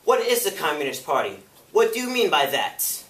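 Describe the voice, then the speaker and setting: A man's voice: a little high, very animated, normal speed, a bit bright. Man performing defiant activist witness, echoey and emphatic. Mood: challenging. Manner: emphatic, oratorical, challenging. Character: defiant, indignant male activist testifying before a committee. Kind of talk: dramatic prose interpretation. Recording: echoey room, picked up from far off.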